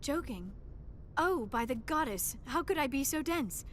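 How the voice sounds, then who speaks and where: A woman's voice: high-pitched, expressive, a little slow, slight background noise. An actress voicing a young alien woman scientist in a video game, shy and earnest, with formal, precise diction. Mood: flustered. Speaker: archaeologist crew member.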